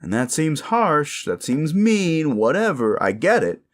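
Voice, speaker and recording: A man's voice: on the high side, big pitch swings, unhurried. A man playing a sleazy, bullying werewolf office boss in an audio roleplay, condescending, cajoling and foul-mouthed as he berates the listener. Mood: berating, lecturing. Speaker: voice actor performing a roleplay.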